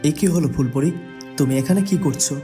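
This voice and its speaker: A man's voice: on the high side, brisk, mellow, soft background music, natural voice. A man playing a kindly young prince in a fairy-tale cartoon, earnest and full of questions. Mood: surprised, curious. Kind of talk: Bengali cartoon voice acting.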